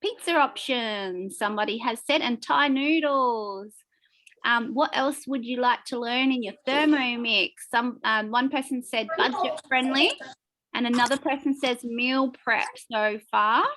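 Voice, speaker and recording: A woman co-hosting an online class, upbeat and quick to laugh as she reads out poll results. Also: fairly high pitch, bright, forceful tone, low-quality recording. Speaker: co-host.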